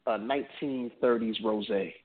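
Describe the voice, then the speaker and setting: A man in his late thirties, a podcast co-host from New Jersey, chatting in a relaxed, joking way. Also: lowish voice. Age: 30s. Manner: laid-back, joking, drawn-out storytelling. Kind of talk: casual chat. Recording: tinny phone-like audio.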